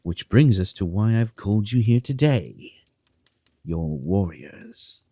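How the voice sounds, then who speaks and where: Haughty king, acted by a man, low-pitched, unhurried, imperious, contemptuous, fantasy audio drama. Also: expressive, warm tone, breathy edge, dry recording, clean studio sound, heavily acted. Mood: brisk, dismissive. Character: haughty, dismissive king giving orders to subjects.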